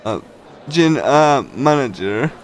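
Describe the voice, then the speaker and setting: A man reading a visual novel aloud on a casual livestream, in a halting, pieced-together way. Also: mid-range voice, expressive, a faint crowd, low-quality recording. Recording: music behind the voice. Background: game music.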